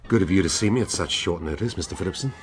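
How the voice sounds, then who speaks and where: An actor playing a dry, sardonic middle-aged police inspector in a radio drama. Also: male voice, deep voice, racing, a little hiss or hum, close to an everyday voice. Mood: probing. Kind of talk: BBC radio drama acting.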